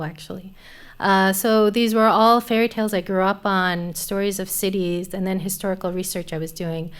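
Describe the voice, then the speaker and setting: A woman in her thirties, a poet from Afghanistan, reading poems and then speaking thoughtfully, with many pauses, into a studio mic on a small stage. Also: medium pitch, smooth. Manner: thoughtful, hesitant with many fillers. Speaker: poet and literature teacher. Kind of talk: poetry reading and panel conversation. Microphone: studio mic on a stand.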